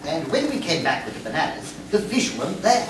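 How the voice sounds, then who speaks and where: A woman's voice: lowish voice, sing-song, brisk. A woman playing a naive, muddled, earnest boyish male castaway in a staged comic radio play. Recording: echoey room, distant mic, faint noise.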